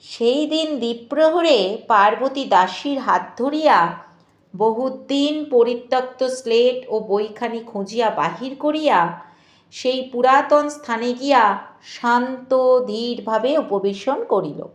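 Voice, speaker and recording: A woman narrating a literary novel in a measured, expressive way with deliberate pauses. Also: a little high. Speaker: audiobook narrator. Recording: echoey voice with music behind. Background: music.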